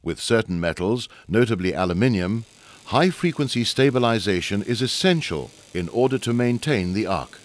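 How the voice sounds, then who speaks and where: A man reading a scripted instructional narration for a training video in a measured, formal explaining way, recorded as a close studio voice-over. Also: fairly low pitch, expressive.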